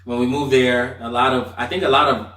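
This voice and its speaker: A man's voice: mid-range voice, steady tone, brisk, a bit bright. Echoey, distant man from Nigeria, casually storytelling. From Nigeria, moved to the US aged eight. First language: Igbo. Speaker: podcast guest. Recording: roomy echo, distant from mic.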